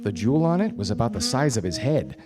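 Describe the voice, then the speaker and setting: Man playing a wry 1940s gumshoe, animated delivery. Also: mid-range voice, fast, natural voice. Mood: dry, confiding. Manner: deadpan, theatrical. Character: wry, self-important 1940s gumshoe detective. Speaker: detective and narrator. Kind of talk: 1940s radio noir acting. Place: theatre stage before studio audience. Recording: live radio play, music behind.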